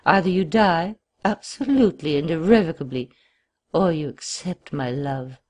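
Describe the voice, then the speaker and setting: A desperate, murderous crippled man, as a woman narrator puts him on, swinging wildly from begging to bellowing threats. The voice sits husky and breathy, pushed up high and loud at its peaks. It comes across as an audiobook reading. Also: lively intonation, normal speed. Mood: cold menace. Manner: wild swings from pleading to shouting.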